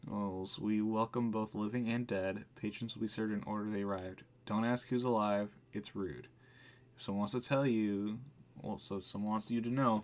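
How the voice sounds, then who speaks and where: A man doing casual live let's play commentary, chatting informally and reading game text aloud as he reacts. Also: fairly low pitch. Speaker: game streamer.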